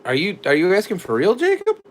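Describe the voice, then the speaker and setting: Male stream co-host, playful and chatty, lively pitch, faint background hiss. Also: quick pace. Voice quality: animated, jokey, drops to a whisper at times. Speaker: livestream co-host. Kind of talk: casual chat reading live chat.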